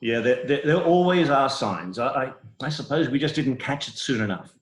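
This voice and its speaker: A man's voice: mid-range voice, expressive, moderate pace, reverberant, lightly acted. A man playing a smug, shameless doctor with a grave, hesitant clinical manner in a comic play reading over a video call. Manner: hesitant, mock-solemn clinical delivery.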